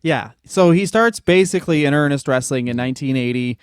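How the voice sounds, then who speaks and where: A man co-hosts a podcast with eager, profane chatter and easy laughter. His voice is smooth and clear and sits slightly high. The recording is close and dry. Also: a little fast, no echo. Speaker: podcast co-host. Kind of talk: casual comedic chat.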